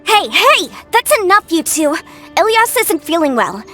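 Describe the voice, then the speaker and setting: A lively, caring big sister. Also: female voice, very high-pitched, faint music behind, full character voice. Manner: brisk and caring. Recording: game soundtrack behind.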